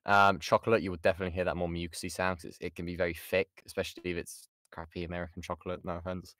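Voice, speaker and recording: A man's voice: deep voice, flat delivery, very fast, a bit bright, no echo. A British man giving an informal lesson as a voice actor, chatty and jokey, often interrupting himself, speaking close to the mic on an online call. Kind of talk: informal lesson with audience questions.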